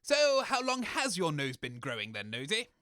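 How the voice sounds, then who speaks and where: A man's voice: a little high, wildly swooping pitch, normal speed, sharp tone, no room sound, studio quality, heavily acted. A man with a British accent playing a grumpy, exasperated radio engineer in a comedy audio drama, indignant and complaining. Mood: eager, cocky. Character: grumpy, exasperated male radio engineer sidekick named Fog.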